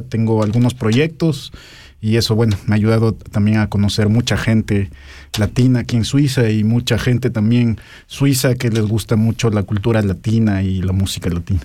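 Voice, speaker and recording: Mellow young Ecuadorian man, studio guest. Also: on the low side. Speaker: interview guest, researcher. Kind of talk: casual interview answers.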